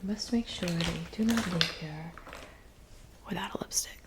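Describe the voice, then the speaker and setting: A woman playing a kindly, doting witch in an ASMR roleplay, speaking in a soft whisper with gentle, reassuring attention. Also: on the low side, normal speed, heavy background noise, lightly acted. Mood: soothing, attentive. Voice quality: soft whisper with some creaky murmur. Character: kindly cabin witch mixing potions, doting and soothing. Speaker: ASMR performer.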